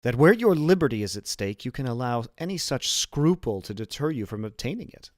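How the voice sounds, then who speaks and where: A man's voice: medium pitch, big pitch swings, fairly quick, dry recording, studio quality, natural voice. A man voicing an earnest younger prisoner, formal and full of questions, in an audiobook reading. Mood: surprised, frank. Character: earnest, astonished younger male prisoner, eager to learn.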